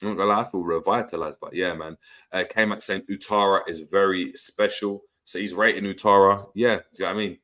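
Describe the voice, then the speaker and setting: A man's voice: low voice, steady tone, fairly quick, fairly bright tone. A young man, hosting a casual football chat and reading out viewer comments, slangy and prone to repeating words. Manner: laid-back, slangy, stumbles and repeats words. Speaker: podcast host.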